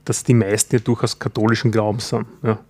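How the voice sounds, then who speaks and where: A man's voice: lowish voice, flat delivery, darkish tone, husky, dry recording. Chatty Styrian man, dry close sound. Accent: Styrian Austrian German. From Styria, Austria. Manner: chatty, fills pauses with "ja". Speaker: podcast co-host. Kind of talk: casual chat.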